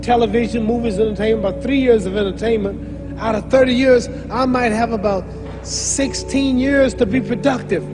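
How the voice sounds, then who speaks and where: Thirty-something guy, high voice, preachy, tallying up the years, music behind. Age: 30s. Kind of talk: motivational talk.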